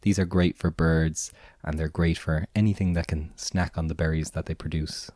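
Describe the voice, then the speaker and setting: Raspy low-voiced Irish man with a cold. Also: moderate pace, no echo, clean studio sound. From Ireland. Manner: relaxed, meandering, many "kind of" fillers. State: hoarse from a cold. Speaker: podcast host and gardener. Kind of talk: casual spoken monologue.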